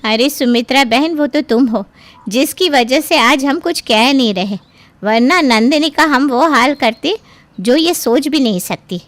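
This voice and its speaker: A woman's voice: sits high, lively intonation, a little slow, fairly bright tone, no echo. A woman playing a blunt, reproachful middle-aged neighbourhood auntie in a cartoon story. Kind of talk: cartoon voice acting.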